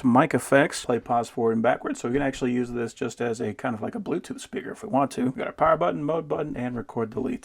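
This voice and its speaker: A man with a gravelly voice giving a casual, folksy hands-on product review in a plain-spoken way. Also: mid-range voice.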